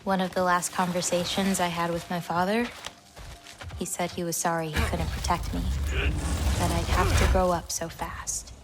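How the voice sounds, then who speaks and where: A woman playing a gentle, self-reliant teenage giantess in a video game, speaking in a natural, expressive, conversational way. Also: on the low side, normal speed, noisy background, close to an everyday voice. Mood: wistful.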